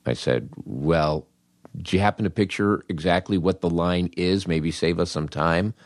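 Older american guy w a low voice, wry podcast storyteller, acts out dialogue, real dry sound. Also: no room sound. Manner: wry, animated, acts out dialogue with dramatic pauses. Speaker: podcast host, comedy writer. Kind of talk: humorous first-person storytelling monologue.

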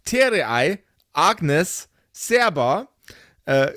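Lively, higher-pitched man co-hosting a podcast. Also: extremely slow, dry recording, clean studio sound. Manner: playful, informal. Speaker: podcast co-host. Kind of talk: casual podcast chat.

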